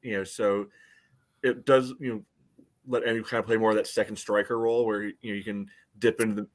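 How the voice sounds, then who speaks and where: Male American podcast co-host, slightly deep voice, conversational and halting, talking through soccer tactics casually. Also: little pitch movement.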